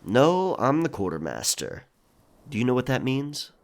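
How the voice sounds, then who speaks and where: An actor playing a stern pirate quartermaster in a historical romance audio drama, brusque, controlled and threatening, yet reasonable. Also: male voice, medium pitch, big pitch swings, moderate pace, creaky. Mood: matter-of-fact.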